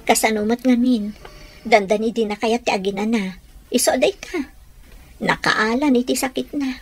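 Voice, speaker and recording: A woman's voice: fairly high pitch, moderate pace, husky, soft background music. A woman with an Ilocano accent playing an anxious, fretful wife and mother in a radio drama. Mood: troubled.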